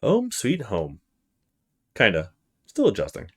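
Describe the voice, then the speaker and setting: A man's voice: fairly low pitch, huge pitch swings, slow pace, husky, heavily acted. A man voicing a polite young male student in a visual novel, thinking to himself in casual short fragments. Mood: musing. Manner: casual inner monologue with short musing fragments.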